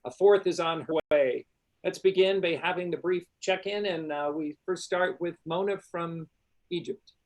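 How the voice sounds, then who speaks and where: A man's voice: sits high, moderate pace, rough recording. Upbeat man hosting an online ceremony. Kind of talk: formal welcoming address. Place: university journalism school.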